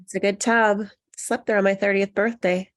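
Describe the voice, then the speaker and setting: A woman's voice: mid-range voice, steady tone, moderate pace, natural voice. A woman reading the part of a loyal, soothing best friend in a screenplay table read. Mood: reassuring. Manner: soothing, encouraging. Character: Annie, loyal reassuring best friend of a nervous bride.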